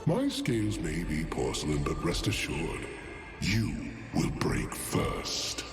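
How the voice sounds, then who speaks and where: A man voicing a vain, condescending ancient dragon in a video game, with a grandiose, deliberate, theatrical delivery. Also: low-pitched, sing-song, exaggerated voice. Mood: haughty, menacing. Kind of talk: video game character voice lines. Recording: long cavernous reverb, music underneath.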